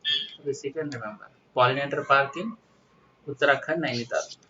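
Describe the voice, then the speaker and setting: A man's voice: mid-range voice, fairly quick, sharp tone. Distant-sounding Indian man teaching. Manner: repetitive, instructive, with "you know" tags. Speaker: exam-prep teacher. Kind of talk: informal explanatory current affairs lecture. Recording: distant mic, muffled lo-fi, faint noise.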